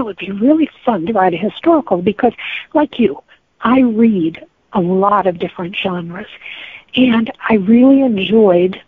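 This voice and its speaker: Older American female interviewee, slightly rough-textured voice, conversational anecdotes, narrow phone-quality audio. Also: medium pitch, no room sound. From United States. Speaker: guest, novelist. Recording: thin phone-like connection.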